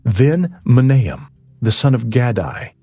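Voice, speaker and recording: A man narrating scripture as a scripted audiobook reading, with measured, solemn phrasing. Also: mid-range voice, sing-song, mellow, slightly rough. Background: ambient music.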